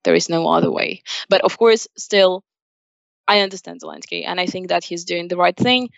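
A woman's voice: fairly low pitch, moderate pace, vocal fry, dry recording, muffled recording. A young woman from Ukraine, a native Russian speaker with a Ukrainian accent, giving earnest, emphatic answers as an interview guest. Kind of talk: spoken interview answers, personal opinion.

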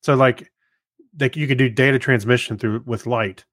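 A male podcast co-host with a medium-pitched voice, captured dry. He chats casually and explains things loosely, in a laid-back and tentative manner. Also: steady tone, normal speed, fairly bright tone, no echo. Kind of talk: casual chat.

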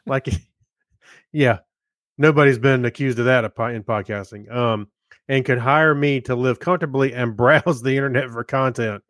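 A man's voice: mid-range voice, fairly even intonation, moderate pace, a bit bright, dry recording, clean studio sound. A man co-hosting a podcast, chatting casually and reading listeners' comments aloud. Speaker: podcast co-host.

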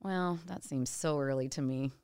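A woman's voice: rumbling low, normal speed, a little mellow, smooth. A woman in her thirties in a casual chat, talking with lots of "like" and laughing at herself. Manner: chatty, self-mocking, laughs often, lots of "like".

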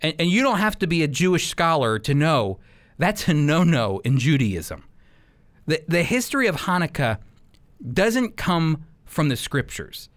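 Male radio Bible teacher, slightly high-pitched, preaching emphatically and straight to the listener. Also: big pitch swings, measured pace, fairly bright tone. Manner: emphatic, addresses listeners directly, repeats phrases for weight.

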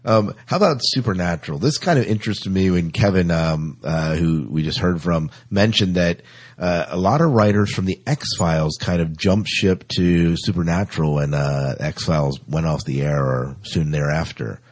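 Low-pitched man with a husky voice. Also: normal speed, no room sound. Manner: agreeable, repeats short affirmations. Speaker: podcast host. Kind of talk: casual chat about TV shows.

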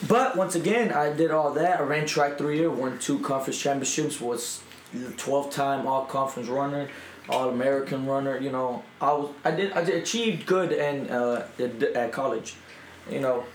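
Animated young man, roomy sound. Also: medium pitch, moderate pace, a little hiss or hum. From Mexico. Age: 20s. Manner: animated, rambling, self-interrupting. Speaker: podcast guest. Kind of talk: casual storytelling chat. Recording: roomy echo.

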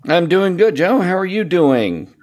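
A 57-year-old man chatting casually as a podcast guest, speaking in a halting way with rambling asides. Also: high voice, very animated, darkish tone. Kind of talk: casual interview chat.

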